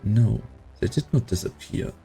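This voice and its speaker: A man's voice: lowish voice, animated, normal speed, vocal fry, warm tone. A man playing a solemn storyteller, giving scripted dramatic narration for a science-fiction game dub. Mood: solemn, suspenseful.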